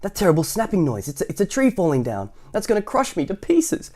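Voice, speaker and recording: A man's voice: high-pitched, wildly swooping pitch, a little fast, a little mellow, pitched above the performer's own voice, exaggerated voice. A man voicing a timid, nervous little man who stammers and shrieks in a children's storybook reading. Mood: panicked.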